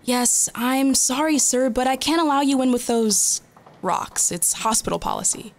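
A woman's voice: high-pitched, lively intonation, normal speed. A woman playing a polite but firm hospital receptionist in an audio drama. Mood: apologetic, firm.

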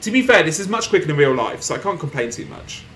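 A young man casually commenting on a desktop game as he plays, reading posts aloud and laughing softly, recorded at home with faint background noise. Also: on the high side, big pitch swings, fast talker. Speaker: gaming streamer. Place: small room at home, indoors.

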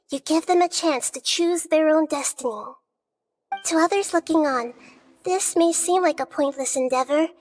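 A woman playing a wise, gentle, childlike goddess in a video game, speaking in a measured, solemn storytelling manner. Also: sits high. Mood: gentle reassuring. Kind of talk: scripted video game dialogue.

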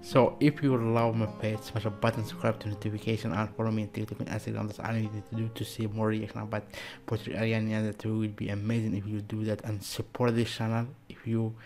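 A man in his thirties with an Algerian accent and a fairly low voice hosts a reaction video. He speaks casual, halting English as an Arabic speaker, effusive in manner. Music plays clearly behind him. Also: level tone, darkish tone. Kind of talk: casual chat to camera.

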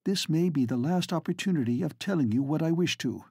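An earnest, proud yet tender lady speaks in a lifted voice, as a male narrator puts her on. She pleads with warmth and feeling, the tone kept mellow and a little husky. The sound is close and dry. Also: a little high, animated, a little fast, no echo, voice pushed up.

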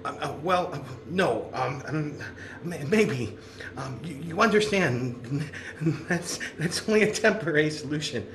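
A nervous, deferential engineer in an audio drama. His high voice stammers and trips anxiously, set in a roomy echo over faint noise. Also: expressive, a little slow. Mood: anxious, hesitant. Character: nervous, deferential male plant engineer, timid and worried.

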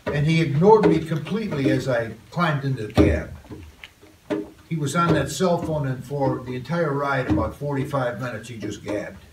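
An older man, a guest poet, reading a poem and chatting softly with students in a school classroom. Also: mid-range voice, normal speed. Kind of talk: poetry reading and chat. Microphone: far phone camera. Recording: roomy, distant, noisy phone recording.

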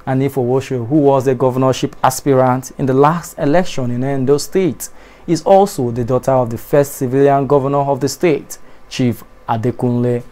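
A young adult man reading a television news bulletin in a studio, formal and measured, his voice dropping at the ends of sentences. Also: medium pitch, steady tone, warm tone. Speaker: newscaster. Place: TV studio in front of a backdrop.

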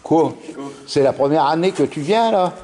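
A man behind the camera casually interviewing judo club members, lively and prompting, often tagging questions onto what he says. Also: fairly high pitch, normal speed. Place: large indoor judo dojo. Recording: echoey hall, faint noise.

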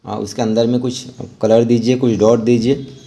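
Mellow-voiced man giving a halting craft tutorial. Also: mid-range voice, flat delivery. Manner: halting, pausing mid-sentence. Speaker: rangoli art instructor. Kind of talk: step-by-step craft tutorial narration.

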